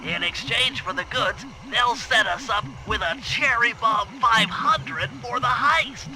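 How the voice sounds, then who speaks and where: A man's voice: very high-pitched, a little slow, pushed and bright, full character voice, rough recording. A man voicing a nerdy, meticulous turtle who plans for a gang in a cartoon video game, with a nasal voice and precise, technical delivery. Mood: businesslike briefing. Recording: music behind the voice.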